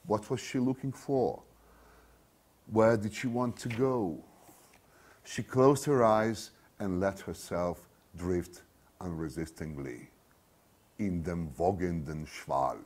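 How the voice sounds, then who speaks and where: Guy in his 50s reading his novel out loud, lowish voice, really slow n dramatic, declaims it with pauses. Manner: measured, declamatory delivery with deliberate pauses. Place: small bare studio room, seated at a desk.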